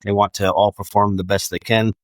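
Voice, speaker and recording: A man's voice: lowish voice, level tone, brisk, no room sound. A man speaking as an instructor in a casual interview, repeating phrases for emphasis. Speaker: air traffic control instructor.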